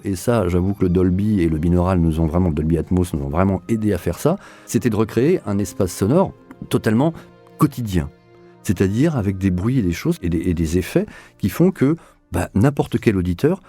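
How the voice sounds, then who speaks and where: Male director, low-pitched interview voice, explanatory and repeating for emphasis, light music underneath. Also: dark tone. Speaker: audio drama director being interviewed. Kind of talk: reflective interview talk.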